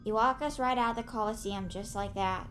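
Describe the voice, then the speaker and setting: A woman plays a young survivor in an audio drama with a slightly high voice. She questions everything, wary and sarcastic, with faint music behind her. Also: brisk, a bit bright, natural voice. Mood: skeptical. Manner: questioning, snarky.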